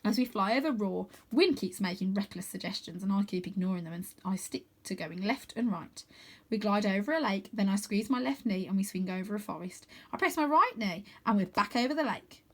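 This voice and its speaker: A woman reading a children's story aloud in an expressive narrating style. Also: mid-range voice, brisk. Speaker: storybook reader.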